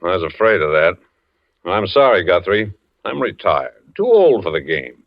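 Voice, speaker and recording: A genial older retired detective in a vintage radio drama. His pitch swings widely as he chats in a folksy, back-slapping way. The recording is dull and aged. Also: big pitch swings, moderate pace. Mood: genial, then reluctant. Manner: chummy, folksy, talkative. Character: hearty, sociable retired male FBI detective. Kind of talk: 1940s radio acting.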